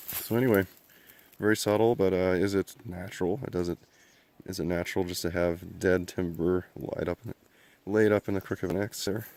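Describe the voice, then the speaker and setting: A man with a cold and a hoarse voice, narrating casually as he hikes through a remote mountain forest. He rambles, thinks aloud and clears his throat often. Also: sits low, fairly even intonation, moderate pace, pauses often, darkish tone. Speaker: outdoor explorer filming a vlog. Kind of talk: casual narration while hiking.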